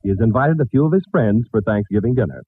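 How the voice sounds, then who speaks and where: A man's voice: lowish voice, lively intonation, fast, husky, no echo. A man announcing a 1940s radio show in a projected, formal showman style, reading scripted narration. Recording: scratchy, tinny old-time radio recording.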